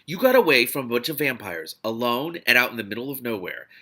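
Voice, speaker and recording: Blunt male field agent for an audiobook, read by a man: bright forceful edge, creaky, slangy and emphatic recruiter. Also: mid-range voice, sing-song, quick pace, exaggerated voice. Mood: incredulous, emphatic.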